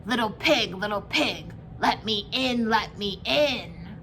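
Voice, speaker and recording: A woman voicing a greedy, menacing male storybook wolf with loud, drawn-out, theatrical threats. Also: mid-range voice, lively intonation, a little hiss or hum. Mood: coaxing, demanding.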